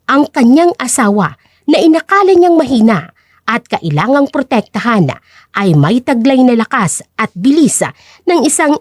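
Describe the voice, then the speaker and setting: A woman's voice: fairly high pitch, a little mellow, dry recording, studio quality. A woman narrator reading a scripted story in a dramatic style.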